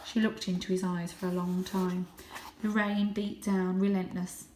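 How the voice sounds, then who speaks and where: A woman narrating a children's storybook in an expressive, dramatic way. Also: fairly low pitch, a little slow, a little hiss or hum. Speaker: storybook reader, teacher reading to children. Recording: roomy, echoing indoor sound.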